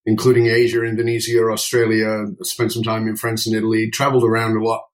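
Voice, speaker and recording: A man's voice: lowish voice, completely flat delivery, quick pace. A middle-aged man with a British accent, speaking conversationally as an interview guest in an emphatic, outspoken manner. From United Kingdom. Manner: emphatic, outspoken, swears casually. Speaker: podcast guest, speaking coach.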